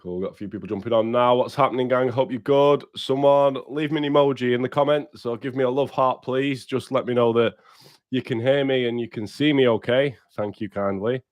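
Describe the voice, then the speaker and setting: A man's voice: medium pitch, brisk, no echo, dull audio. A man hosting a casual livestream podcast while bunged up with a cold. He is blunt, sweary and upbeat, and talks straight to his viewers. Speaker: podcast host.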